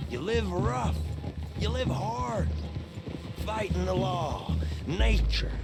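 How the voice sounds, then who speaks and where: An actor playing a defensive, hesitant captive outlaw with an American accent in a western video game. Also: male voice, high-pitched, expressive, takes it slowly, creaky, full character voice. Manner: hesitant, stammering, protesting. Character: nervy male captive outlaw, defensive and insistent. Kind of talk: video game acting, Old West. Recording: loud music behind the voice. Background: loud music.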